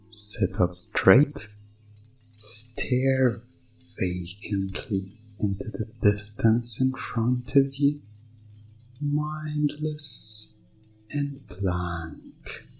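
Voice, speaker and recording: A man's voice: on the low side, darkish tone, low-quality recording. A man playing a sinister doctor in a hypnosis ASMR roleplay, soothing and commanding his test subject. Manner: slow, commanding, soothing, deliberate countdown-style delivery.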